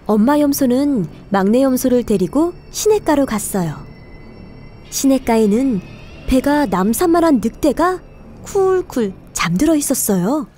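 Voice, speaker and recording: A woman's voice: sits high, animated, measured pace, dark tone, soft background music. A woman narrating a scripted children's fairy tale in a careful storytelling manner.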